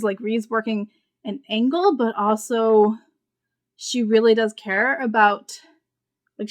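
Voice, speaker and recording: Woman giving a casual book review. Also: fairly high pitch. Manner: informal, thinking aloud in loose, rambling sentences.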